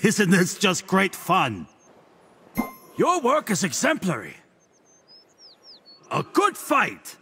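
A man's voice: sits high, wildly swooping pitch, slow, pauses often, bright, forceful tone, a little hiss or hum, full character voice. A man voicing a haughty, smugly witty god sage in a video game, with a pompous, theatrical delivery. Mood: smug mocking. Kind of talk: video game character voice lines.

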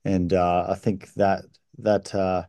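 Mellow, hesitant male podcast host. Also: sits low, little pitch movement, slow pace, no room sound. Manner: hesitant, many fillers and repeats. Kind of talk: interview questions. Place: indoor room. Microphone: video call.

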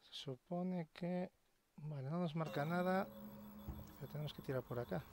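A man's voice: fairly high pitch, normal speed. Spanish gamer man over noisy game ambience. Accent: Spain. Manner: colloquial, sweary muttering to himself and viewers. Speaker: gamer, video host. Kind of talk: casual let's-play commentary. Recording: game ambience clearly behind voice.

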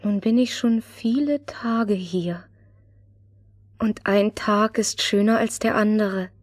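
A woman's voice: medium pitch, slow pace, darkish tone, dry recording, clean studio sound. An actress playing an earnest, wondering little girl in a fairy-tale audio drama. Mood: wistful, puzzled. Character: gentle little girl searching for her lost friend.

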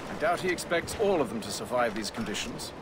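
A man's voice: mid-range voice, expressive, brisk, heavy background noise, lightly acted. A middle-aged man voicing a cold, formal grand master who speaks in a dry, commanding way in video game cutscenes. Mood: resolute. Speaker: game character. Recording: game audio with music score.

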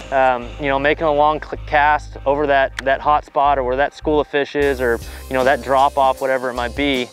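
A man's voice: medium pitch, low-quality recording. A man in his thirties giving a casual fishing tutorial on a boat outdoors, talking with easy enthusiasm and plenty of "you know" fillers. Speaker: pro bass angler.

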